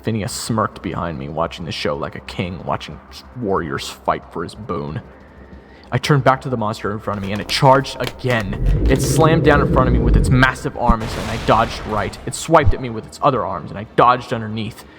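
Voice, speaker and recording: A man's voice: fairly low pitch, normal speed, background noise. A man giving first-person dramatic scripted narration as the hero of a fantasy audio roleplay. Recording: long reverb, music under the voice.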